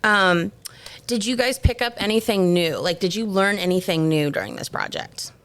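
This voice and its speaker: A woman's voice: mid-range voice, animated, moderate pace, a bit bright. A woman teacher hosting a casual podcast interview, speaking informally and encouragingly as she prompts with questions.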